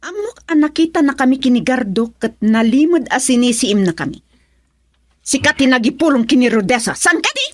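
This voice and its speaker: A woman's voice: high-pitched, expressive, a little fast, no echo. An actress in a radio drama playing a spiteful, defiant, scheming young woman in an emotional manner.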